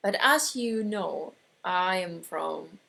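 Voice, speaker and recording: A woman with an Argentine Spanish accent, whose first language is Spanish, teaches an online course. She talks slowly and deliberately, stopping often to find her words and restarting phrases. Her voice carries a gravelly fry. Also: lowish voice, animated, bright, forceful tone. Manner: slow, explanatory, with pauses and restarts. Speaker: metaphysics teacher.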